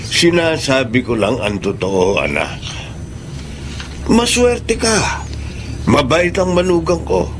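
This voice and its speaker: An older man playing an elderly father who gently scolds his grown daughter in a Tagalog radio drama, expressive and creaky. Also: fairly high pitch, takes it slowly, long pauses. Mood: admonishing. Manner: admonishing, expressive. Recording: noisy background ambience.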